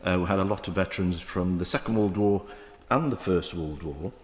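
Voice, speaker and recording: A man in his fifties with a Manchester accent, giving a halting parliamentary debate speech with many fillers and restarts. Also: sits low. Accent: Mancunian. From England. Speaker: member of the House of Lords. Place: large echoing debating chamber. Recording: roomy chamber with long reverb.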